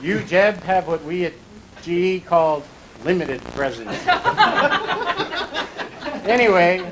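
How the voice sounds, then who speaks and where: A man's voice: sits high, slow pace. A man reading out joke birthday messages to guests at a home party. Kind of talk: comic reading aloud at a party. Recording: noisy amateur home video.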